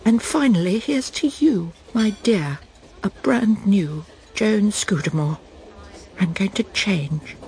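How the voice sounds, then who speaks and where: A woman's voice: mid-range voice, lively intonation, slow, darkish tone. An actress playing a proper middle-aged Englishwoman in a radio drama, with an English accent. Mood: relieved, contrite. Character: smug middle-aged Englishwoman, lost and breaking down.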